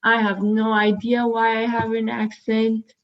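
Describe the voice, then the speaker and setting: Young Armenian-American woman, flat earnest delivery. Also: a little high, unhurried, lo-fi sound. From United States. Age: young adult. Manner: earnest, laughs often, many ums. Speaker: student panelist. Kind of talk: panel discussion.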